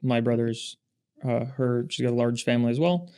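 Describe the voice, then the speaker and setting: A man in his thirties who was born in Russia and raised in the Pacific Northwest, a podcast guest telling stories in a measured, steady way. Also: medium pitch, smooth. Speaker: podcast guest, industry founder. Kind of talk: casual interview chat.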